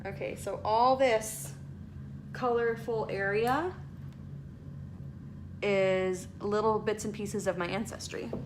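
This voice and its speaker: Young woman, casual vlogger, chatty asides, reads aloud, mid-pitched voice, faint hiss behind. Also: fairly even intonation, takes it slowly, pauses often. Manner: chatty, reads aloud with asides, stumbles over place names. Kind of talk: casual vlog reading out results.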